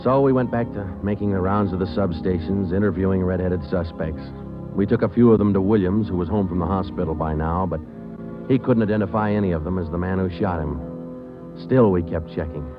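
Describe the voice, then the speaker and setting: An actor playing a terse male police sergeant who narrates the case in a clipped, deadpan way, in 1940s radio acting. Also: low voice, fairly quick, lightly acted. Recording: tinny old radio broadcast with music.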